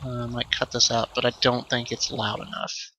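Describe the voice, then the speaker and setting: A man hosting a let's play with casual, improvised commentary, rambling and thinking out loud as he goes. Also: mid-range voice, monotone, normal speed, slight background noise, rough recording.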